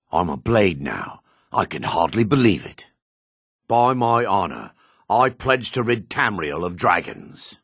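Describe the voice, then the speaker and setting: Husky, low-voiced gruff dark elf. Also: male voice, no room sound, clean studio sound, exaggerated voice. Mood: curt, then solemn. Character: gruff male dark elf townsman and warrior. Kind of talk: video game character voice lines.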